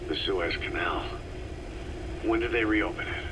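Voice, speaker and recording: Gruff wounded soldier for a game, super low gravelly voice, terse, noisy tape sound. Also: male voice, measured pace. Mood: terse, weary. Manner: terse, short questions. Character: gruff, terse wounded soldier just back from long coma. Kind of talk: video game voice acting.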